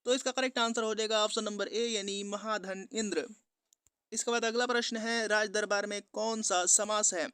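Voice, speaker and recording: A man's voice: high-pitched, fairly even intonation, moderate pace, pushed and bright, smooth, no room sound, studio quality. A man teaching an exam-prep lesson, methodically reading out quiz questions and giving the answers in a repetitive instructional pattern.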